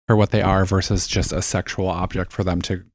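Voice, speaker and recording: Deadpan low-voiced young man. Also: a little fast, no echo. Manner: dry, deadpan, sarcastic. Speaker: podcast co-host. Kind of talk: casual chat.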